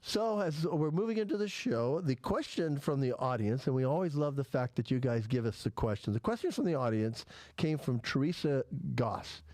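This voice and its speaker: A man's voice: medium pitch, lively intonation, normal speed, few pauses, a little mellow, no room sound. Rambling man with a slight lisp. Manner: rambling, with many restarts and fillers. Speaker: podcast host. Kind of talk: casual chat.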